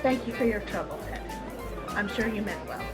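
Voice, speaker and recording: A woman acting in a live radio play as a brisk, firm but kindly wife who runs a cinema. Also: mid-range voice, animated, over music, close to an everyday voice. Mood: firm, exasperated. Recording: clearly noisy streamed audio, clicks.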